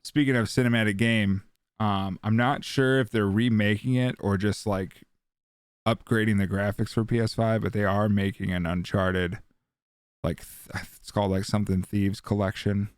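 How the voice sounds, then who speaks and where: Man, low voice, podcast co-host, casual, slangy, sweary, dry recording. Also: fairly even intonation, normal speed, clear, resonant tone, no room sound. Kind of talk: casual panel chat about video games.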